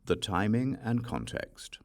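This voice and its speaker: A man reading a religious text as an audiobook, with a measured, formal, instructional delivery. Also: on the low side, sing-song, vocal fry. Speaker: audiobook reader. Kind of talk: scripted narration of a religious text.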